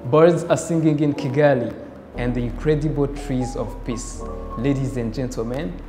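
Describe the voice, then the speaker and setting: A man's voice: medium pitch, moderate pace. Young man, formal interview host, echoey indoor sound, background music. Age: young adult. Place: indoor room with bare walls, seated. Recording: echoey room, music behind.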